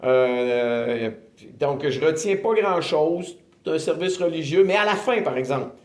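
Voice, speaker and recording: A man in his fifties with a Québécois accent, earnestly telling his faith testimony in an interview, often pausing with filler sounds. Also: a little high, animated. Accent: Québécois French. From Quebec, Canada. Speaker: ministry director giving testimony. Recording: roomy echo.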